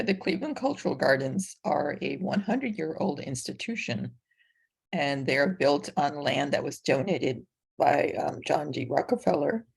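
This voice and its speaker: A middle-aged woman explaining her community project on a webinar panel, in a measured, halting way with pauses. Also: low voice, creaky, darkish tone, breathy edge. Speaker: community garden project leader.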